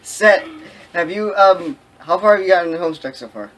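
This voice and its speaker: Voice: mid-range voice, brisk, fairly bright tone, low-quality recording. A co-host chatting casually and joking in reaction to someone else's reading.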